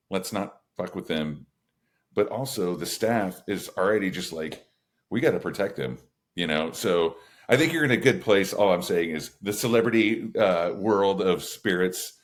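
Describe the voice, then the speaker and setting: Deep-voiced laid-back male podcast co-host. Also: lively intonation, moderate pace. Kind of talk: casual podcast chat.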